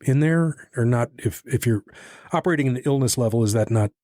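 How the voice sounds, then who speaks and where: A man's voice: medium pitch, a little fast, warm tone, dry recording, clean studio sound. An American man hosting an informal interview podcast, talking in a folksy, blunt, slangy way.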